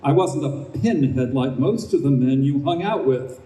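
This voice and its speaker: A man's voice: mid-range voice, animated, moderate pace, dark tone, close to an everyday voice. An actor in a live radio play as a stubborn, anxious middle-aged husband and father, grumbling and earnest. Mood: indignant. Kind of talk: live old-time radio play acting. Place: live stage at a convention. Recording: roomy, distant, faintly noisy stage recording.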